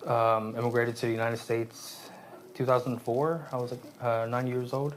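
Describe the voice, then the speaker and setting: Halting soft-spoken man, faint hiss. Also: medium pitch, flat delivery. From Vietnam, raised in San Jose. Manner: halting, many restarts and fillers. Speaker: guest, aspiring therapist. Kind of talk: reflective interview talk.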